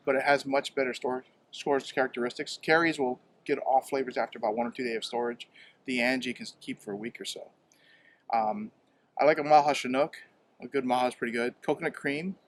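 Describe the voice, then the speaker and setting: A man from Hawaii, a home fruit grower, talking in a halting, casual way, often repeating words and filling pauses with um, recorded outdoors in a yard. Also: mid-range voice, slight background noise. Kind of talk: casual interview.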